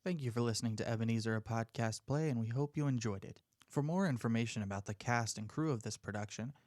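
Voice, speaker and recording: Male announcer for podcast credits: medium-pitched, dry recording, scripted read. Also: no echo.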